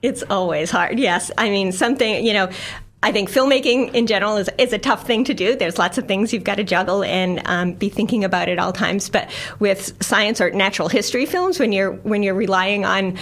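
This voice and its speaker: Middle aged american woman on a radio show, excited, lots of ums and little laughs. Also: mid-range voice, brisk. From United States. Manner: enthusiastic, laughs often, many fillers and restarts. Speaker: guest, science filmmaker and lecturer. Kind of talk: radio interview.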